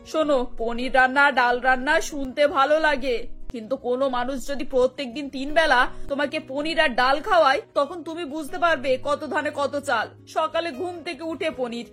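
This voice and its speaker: A woman's voice: high-pitched, a bit bright. A woman voicing a sarcastic, fed-up older mother-in-law in a cartoon, grumbling as she talks. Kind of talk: Bengali cartoon voice acting. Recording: music bed behind the voice.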